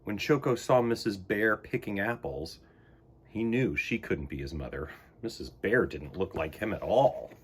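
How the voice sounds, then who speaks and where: A man hosting a children's storytime, reading and talking in a theatrical, expressive way. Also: fairly low pitch, moderate pace, a little hiss or hum. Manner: theatrical, exclamatory.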